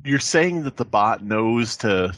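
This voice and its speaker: A man's voice: fairly low pitch, expressive, slow pace, a bit bright, dry recording. A man on a podcast panel trading casual comedic banter, sarcastic, profane and always needling.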